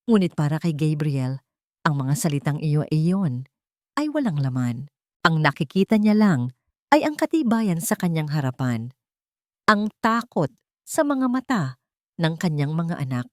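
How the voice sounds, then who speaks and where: A woman reading a scripted audio drama in an emotive, deliberate storytelling manner. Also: low-pitched, big pitch swings, no room sound, studio quality.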